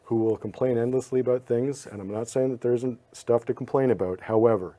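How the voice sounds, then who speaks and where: A man's voice: mid-range voice, steady tone, a little fast, mellow. A man co-hosting a podcast, speaking reflectively in casual chat with small restarts. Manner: reflective, talks in short thoughtful runs with small restarts. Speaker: podcast co-host.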